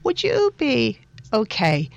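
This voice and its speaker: A woman hosting an interview in a supportive, conversational way, with gentle, hesitant phrasing. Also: on the high side, huge pitch swings, takes it slowly, gravelly, highs cut off. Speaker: interview host.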